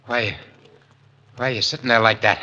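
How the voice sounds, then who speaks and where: An actor playing a cornered, defiant killer in agitated, incredulous 1940s radio style. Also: male voice, lowish voice, fairly even intonation, moderate pace, sharp tone. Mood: panicked disbelief. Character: cornered male criminal, jumpy and defiant killer. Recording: dull vintage 1940s radio recording.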